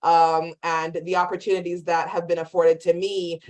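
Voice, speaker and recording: A woman in her forties with a Jamaican accent, speaking on an online career fair panel. Her voice sits a bit low, and she talks in a friendly, conversational way that breaks easily into laughter. She introduces herself as a senior actuary. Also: little pitch movement, normal speed, a little mellow. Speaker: actuarial vice president at a career fair booth.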